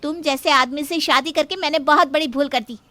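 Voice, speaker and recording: A woman voicing a haughty, quick-tempered and scornful young woman in a cartoon moral story. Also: sits high, no echo. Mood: bitter, angry. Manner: sharp, scornful, demanding.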